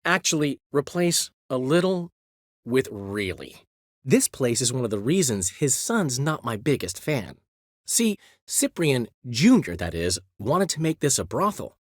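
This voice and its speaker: An actor playing a vain, witty middle-aged bard in a video game, speaking theatrically with playful asides. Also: male voice, medium pitch, sing-song, measured pace, dry recording, studio quality. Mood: chatty. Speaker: game character and epilogue narrator.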